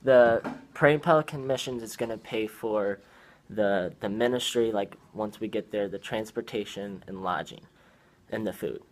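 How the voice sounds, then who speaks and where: Hesitant young man, low voice. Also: normal speed. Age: young adult. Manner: hesitant, many fillers and restarts. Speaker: young man asking for trip support. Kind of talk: casual conversation at a meeting.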